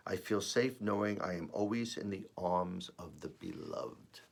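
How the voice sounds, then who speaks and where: A man with a raspy, slightly under-the-weather voice, reading and reflecting as host of an earnest spiritual message, with deliberate pauses. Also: low-pitched. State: voice rough while recovering from feeling unwell. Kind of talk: devotional reading and personal reflection.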